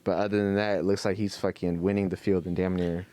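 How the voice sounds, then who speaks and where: A man's voice: deep voice, level tone, fast talker, mellow, dry recording. A young man co-hosting a podcast, talking in a laid-back, casual way, swearing and trailing off into "you know what I'm saying.". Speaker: podcast co-host. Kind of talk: casual chat.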